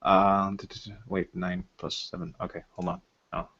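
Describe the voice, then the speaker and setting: A man's voice: low-pitched, flat delivery, normal speed, a bit bright. A man talking casually through a tabletop roleplaying game, muttering counts and explaining rules in a hesitant way, heard over lo-fi voice chat. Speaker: tabletop roleplaying game master or player rolling combat.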